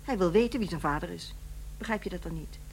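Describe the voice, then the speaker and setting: An actress playing an unsentimental middle-aged ex-wife in a radio play, matter-of-fact and impatient. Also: female voice, on the low side, fast talker, darkish tone, slight background noise, natural voice, low-quality recording. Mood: practical advice. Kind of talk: naturalistic radio play acting.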